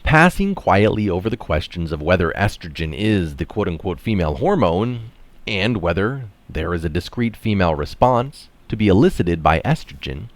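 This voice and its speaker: A man with a low voice reads scholarly writing aloud. His phrasing is deliberate and emphatic, with pitch that moves widely. The sound is dry and close. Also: no echo. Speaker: article reader and commentator. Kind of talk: reading a science article aloud, with brief casual commentary.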